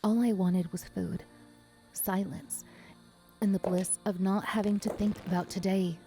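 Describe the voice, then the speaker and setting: A woman's voice: mid-range voice, fairly even intonation, unhurried, warm tone, faint music behind, lightly acted. A woman playing a weary, self-conscious but defiant young woman in a first-person audio drama. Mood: weary, reflective.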